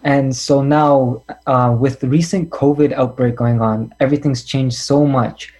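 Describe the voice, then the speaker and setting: A man's voice: medium pitch, fairly even intonation, a little slow, a little mellow. Young man asking interview questions. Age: young adult. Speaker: student interviewer.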